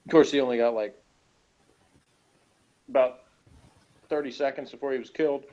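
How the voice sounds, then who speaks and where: A man's voice: mid-range voice, steady tone, normal speed, mellow, muffled recording. A man chatting casually and critically, giving blunt opinions as a reviewer of a TV episode.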